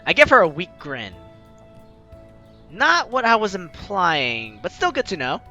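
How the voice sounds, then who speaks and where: A man's voice: sits high, wildly swooping pitch, unhurried, sharp tone, dull audio, soft background music. A man hosting a let's-play, reading a visual novel aloud and breaking off to laugh and comment.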